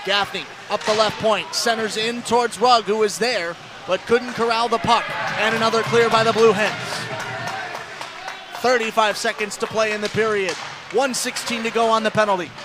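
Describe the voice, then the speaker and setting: High-pitched American hockey play-by-play man. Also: steady tone, moderate pace, crowd noise. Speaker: play-by-play announcer. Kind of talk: live hockey radio play-by-play commentary. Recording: clear rink noise behind commentary. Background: ice hockey game noise.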